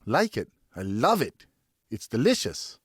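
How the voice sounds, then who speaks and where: A man's voice: mid-range voice, huge pitch swings, a little slow, husky, no echo, clean studio sound, full character voice. A man voicing an eager, admiring young French son learning to hunt, in an audiobook. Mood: delighted. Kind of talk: audiobook character dialogue.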